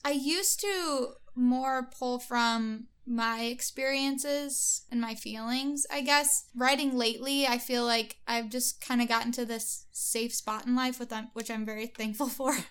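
A woman's voice: on the high side, steady tone, measured pace, few pauses, fairly bright tone, clear, resonant tone. A young woman singer-songwriter answering interview questions casually, with hesitant fillers and frequent giggles.

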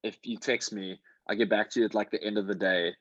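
American male, mid-pitched and husky, relaxed chat delivery, dull close-up sound. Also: quick pace, no room sound. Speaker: podcast performer. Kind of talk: casual chat.

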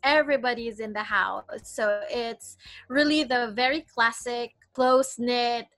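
Bright-voiced Filipino woman, dry close sound. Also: on the high side, unhurried, dry recording, faint music behind. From Philippines. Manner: chatty and cheerful, with light laughs. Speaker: interview guest, attorney. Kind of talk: casual interview.

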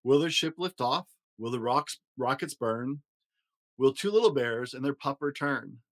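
A man's voice: medium pitch, lively intonation, unhurried, fairly bright tone. Man reading a children's storybook aloud. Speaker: podcast host and story reader.

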